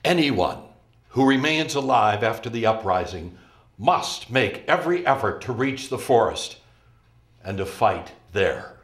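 A man's voice: mid-range voice, big pitch swings, unhurried, fairly bright tone. An older man in a dramatic reading, playing a grave, resolute resistance commander. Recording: roomy echo, faint music behind.

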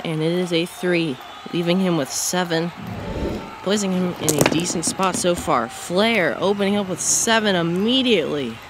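A man calls a marble bowling competition in quick play-by-play, his slightly high voice jumping up on each big hit or miss. A loud, steady hum of background noise sits under the whole recording. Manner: excitable, rising on big moments. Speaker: marble race commentator. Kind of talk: play-by-play commentary.

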